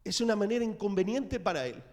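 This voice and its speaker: A middle-aged man preaching a sermon in a church, telling the story with dramatic swings in loudness. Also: high voice, expressive, fast. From Latin America. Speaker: pastor. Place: church sanctuary. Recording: reverberant church hall.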